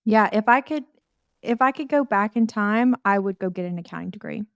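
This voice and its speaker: A woman's voice: medium pitch, a little fast, no room sound, muffled recording. Light-hearted Southern US woman, dry mic. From Baton Rouge, Louisiana. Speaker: podcast co-host. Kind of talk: casual chat.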